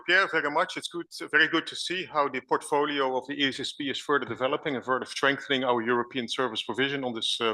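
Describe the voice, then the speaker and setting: A man moderating a conference session, thanking speakers and introducing the next one in a brisk, businesslike way. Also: mid-range voice, pushed and bright, poor audio.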